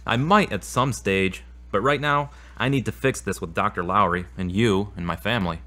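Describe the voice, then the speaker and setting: Earnest, troubled boyfriend played by a man, bright forceful voice. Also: fairly low pitch, normal speed. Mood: contrite. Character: earnest troubled boyfriend admitting his lies and anger. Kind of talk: audio drama dialogue.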